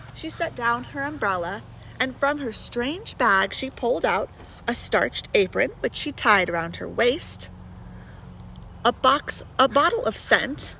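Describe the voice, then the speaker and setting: A woman's voice: a little high, vocal fry, sharp tone, a little hiss or hum, rough recording. A woman reading a children's book aloud as a storyteller, expressively, speaking directly to young listeners with chatty asides.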